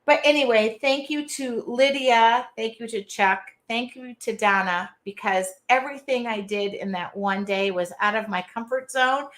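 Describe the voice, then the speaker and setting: Nasal, loud, chatty Midwestern woman. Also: on the high side. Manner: loud, chatty, self-deprecating. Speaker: art journaling live-stream host. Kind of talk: casual live-stream chat. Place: her art studio.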